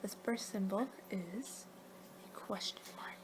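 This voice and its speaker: A woman performing a playful ASMR roleplay, rambling along in soft speech and whispers as if losing her train of thought. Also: medium pitch, takes it slowly. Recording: clear background noise.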